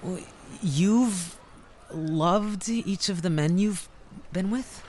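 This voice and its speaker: An actor playing a shy, smitten male friend in an audio drama, halting and awkwardly earnest. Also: high-pitched, a little mellow, a little hiss or hum. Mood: tentative, probing. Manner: halting, tentative.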